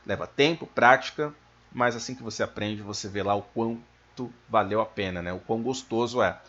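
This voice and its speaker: A man with a Brazilian accent giving an informal language lesson as a teacher, explaining things and slowing down to enunciate his examples. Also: on the low side, a bit bright. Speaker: English teacher. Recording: dull, lo-fi microphone sound.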